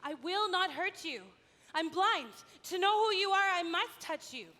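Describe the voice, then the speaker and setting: Little Badger, a woman playing a gentle young blind hero. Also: very high-pitched, animated, unhurried, long reverb tail, heavily acted. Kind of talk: live stage play.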